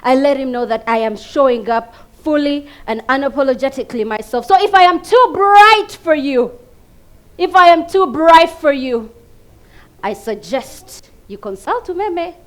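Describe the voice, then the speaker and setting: A Ugandan woman performing a theatrical comic monologue, emphatic and dramatic, swinging between hushed and loud delivery. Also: sits high, lively intonation, normal speed. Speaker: competition contestant performing a monologue. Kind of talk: theatrical comedic stage monologue.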